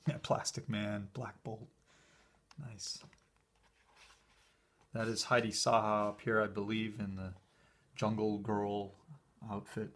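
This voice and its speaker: A man casually talking through an old convention program as he leafs through it, relaxed and enthusiastic, with small fillers and pauses, recorded indoors by a camera filming his hands from above. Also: fairly low pitch. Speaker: collector showing a convention program. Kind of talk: casual flip-through commentary.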